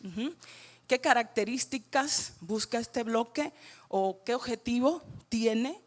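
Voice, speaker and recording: A woman's voice: fairly high pitch, slow. A woman from Cuba with a Cuban Spanish accent, leading a teacher training workshop and checking in with her audience as she goes. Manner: guiding, checks in with "¿verdad?" and "ujú".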